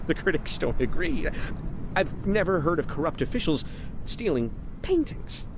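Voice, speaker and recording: Talkative, fawning needler; highish, very animated delivery with stumbles, over noisy street ambience. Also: male voice, normal speed. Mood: smug, insinuating. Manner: rambling, stammering, repeats words, chuckles. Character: chatty, fawning man needling a corrupt ex-official. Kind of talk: dramatic game cutscene monologue.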